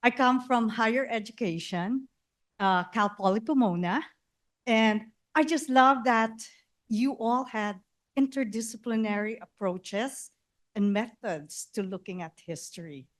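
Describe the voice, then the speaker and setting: A woman's voice: a little high, measured pace. A woman with a Filipino accent from the Philippines, politely asking a panel a question from the audience on a handheld mic. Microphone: handheld microphone passed through the audience.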